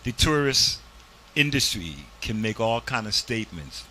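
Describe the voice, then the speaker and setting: A man's voice: medium pitch, expressive, measured pace, sharp tone, a little hiss or hum, low-quality recording. A man hosting a community TV interview in a studio, talking in an emphatic, preachy way. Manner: preachy, emphatic, uses "this here". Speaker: community TV host. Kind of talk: casual interview and commentary.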